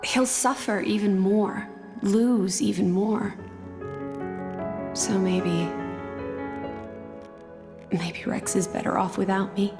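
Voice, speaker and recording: A woman voicing a gentle, resigned, self-effacing young woman in a video game. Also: mid-range voice, fairly even intonation, slow, vocal fry, pauses often, music in the background. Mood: sorrowful.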